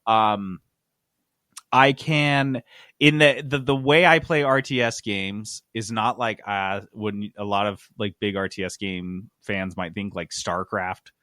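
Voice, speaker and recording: A man's voice: on the low side, steady tone, slow pace, sharp tone, dry recording, studio quality. A man hosting a casual podcast chat, talking informally and jokily with plenty of slang. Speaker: podcast host. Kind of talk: casual chat about games and candy.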